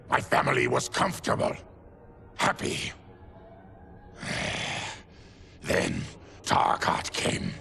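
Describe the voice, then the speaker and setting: An actor playing a bitter, weary, plague-ravaged mutant man in a video game, gravelly, somber and halting. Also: deep voice, fairly bright tone, heavily acted. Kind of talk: dramatic video game acting.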